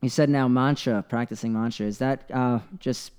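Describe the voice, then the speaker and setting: Mellow, clear American male interviewer. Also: medium pitch, fairly even intonation, moderate pace. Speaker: interviewer, podcast host. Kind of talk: casual interview chat.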